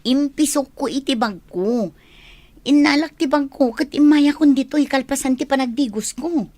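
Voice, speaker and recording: Woman acting an upset, insistent high-voiced woman. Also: moderate pace, a little mellow, no room sound, close to an everyday voice. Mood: distressed. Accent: Ilocano. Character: upset, insistent woman who lost her phone. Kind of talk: Ilocano radio drama acting.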